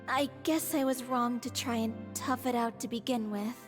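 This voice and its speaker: A woman's voice: a little high, fairly even intonation, normal speed, lightly acted. Woman voicing a frail, unlucky young actress. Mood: wistful. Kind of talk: video game voice acting. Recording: reverberant game audio with music.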